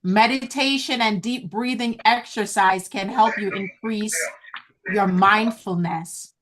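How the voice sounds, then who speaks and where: A woman's voice: mid-range voice, fairly even intonation, slow pace, background noise. A woman business coach teaching a group over a video call, earnest and encouraging, calling her listeners guys. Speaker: business coach hosting an online event. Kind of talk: slow, deliberate teaching talk.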